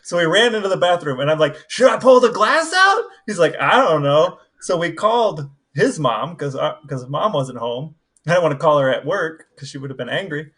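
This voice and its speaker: A man's voice: a little high, very animated, normal speed, a bit bright. A man co-hosting a podcast, chatting casually and anecdotally. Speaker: podcast co-host.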